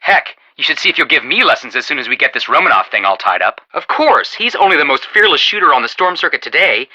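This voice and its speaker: Very bright, excitable young male sleuth. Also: on the high side, fast talker, exaggerated voice, low-quality recording. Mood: chatty, opinionated. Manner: chatty, joking, emphatic. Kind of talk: animated video game dialogue.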